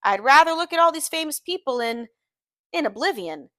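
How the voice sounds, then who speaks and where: A woman's voice: high voice, animated, moderate pace, a bit bright, no echo, studio quality, voice pushed up. Woman reading as Tom, arrogant wealthy husband. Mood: objecting.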